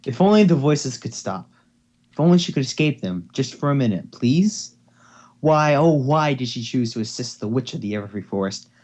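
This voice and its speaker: A man's voice: medium pitch, expressive, normal speed, darkish tone. Dull lo-fi recording of a man reading aloud. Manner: informal, stumbles over words. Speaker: host reading fan fiction aloud. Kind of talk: amateur dramatic story reading. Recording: dull lo-fi home microphone.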